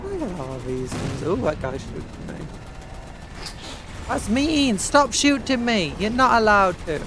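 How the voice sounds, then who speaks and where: A man's voice: high-pitched, very animated, measured pace. A British man streaming a video game with casual, playful commentary, full of childlike exclamations and sing-song filler noises. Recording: noisy, game vehicle sounds behind. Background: video game vehicle noise.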